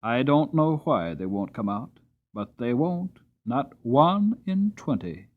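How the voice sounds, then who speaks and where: A man voicing a slow, earnest older stranger. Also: mid-range voice, sing-song. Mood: impressed. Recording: tinny narrowband recording.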